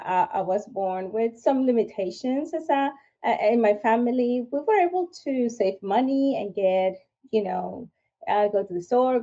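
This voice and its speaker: A woman's voice: medium pitch, mellow. A woman from Venezuela with a Venezuelan Spanish accent, a podcast guest telling her personal story earnestly, with frequent restarts. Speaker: podcast guest, firearms instructor. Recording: dull lo-fi sound.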